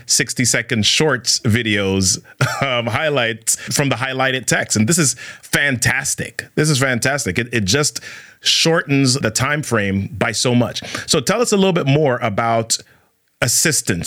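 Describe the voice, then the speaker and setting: A man's voice: mid-range voice, a bit bright. Male host, enthusiastic, supportive reactions, conversational. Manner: enthusiastic, affirming interjections. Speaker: podcast host. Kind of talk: conversational interview.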